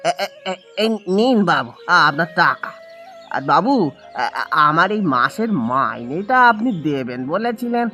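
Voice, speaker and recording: A man's voice: on the high side, sing-song, measured pace, sharp tone, soft background music, exaggerated voice. A man voicing a humble, pleading village fish seller in a cartoon, deferential and imploring. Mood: polite, eager. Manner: deferential, imploring, calls out like a vendor. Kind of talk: cartoon voice acting in Bengali village story.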